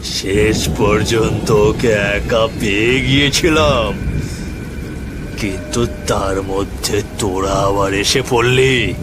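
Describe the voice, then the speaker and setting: A man's voice: on the low side, takes it slowly, heavy background noise. A man playing the vengeful ghost of a wronged young man in a horror cartoon, drawing out menacing, accusing words. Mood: bitter, vengeful. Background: music with rain and thunder.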